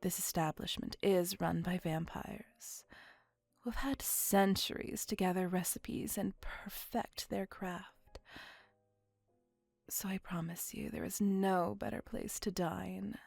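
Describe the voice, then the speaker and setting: A woman voicing an elegant, possessive, centuries-old female vampire in a soft, half-whispered ASMR roleplay, with formal, measured, old-fashioned phrasing. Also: fairly low pitch, steady tone, gravelly, dry recording, faint music behind, full character voice. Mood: refined, reassuring. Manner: formal, archaic, measured phrasing with tag questions.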